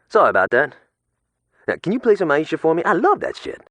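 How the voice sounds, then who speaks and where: A man's voice: a little high, huge pitch swings, moderate pace, a bit bright, slight background noise, heavily acted. A man playing a casual street-crew fan calling a radio station in slangy, stop-start phrases. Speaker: radio caller.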